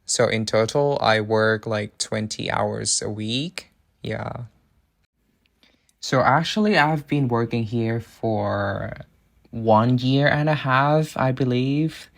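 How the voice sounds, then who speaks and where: A young man from Thailand speaks English, his tone earnest and measured. His voice carries a noticeable gravelly fry at the bottom of his mid-range pitch. He talks in a casual monologue and turns encouraging when he gives advice. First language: Thai. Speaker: international student and part-time English teacher. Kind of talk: casual personal monologue to camera.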